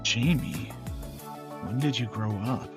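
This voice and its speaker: A man's voice: medium pitch, slow, music in the background. A man performing the lines of a story-heavy visual novel as its sole voice actor. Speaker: game player voicing each character. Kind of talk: acted narration of a visual novel.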